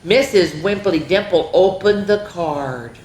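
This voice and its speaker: Low-voiced woman reading stories to children. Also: animated, unhurried, darkish tone. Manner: exclamatory, dramatic, addresses listening children directly. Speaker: children's story time host. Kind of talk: animated read-aloud storytelling with chatty asides to children. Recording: roomy echo, faint background noise.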